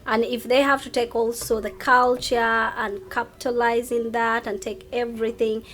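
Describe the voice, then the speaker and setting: A woman's voice: a little high, little pitch movement, moderate pace, a bit bright. A woman chatting informally as a guest, often repeating her words as she goes. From Uganda. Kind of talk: casual chat.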